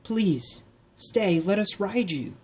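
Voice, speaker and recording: A woman's voice: lively intonation, slow. A woman reading a storybook aloud, voicing a plucky young girl in a raised, eager voice. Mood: soft pleading. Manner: high, coaxing, then yelling.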